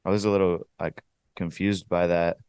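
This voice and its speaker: Casting: relaxed male co-host, lower voice, slangy and hesitant, dry sound. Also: normal speed, clear, resonant tone, dry recording. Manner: slangy one-word reactions.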